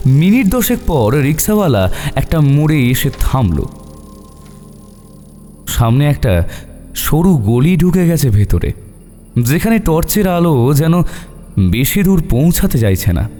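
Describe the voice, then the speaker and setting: A man's voice: a little high, mellow. A man narrating a scripted horror story with suspenseful, dramatic phrasing. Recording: long reverb over eerie music.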